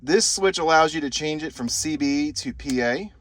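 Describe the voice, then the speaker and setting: A man's voice: fairly high pitch, moderate pace, very noisy. A man hosting a product review video, talking to viewers in short, simple explanatory phrases. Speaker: host of a gear review channel.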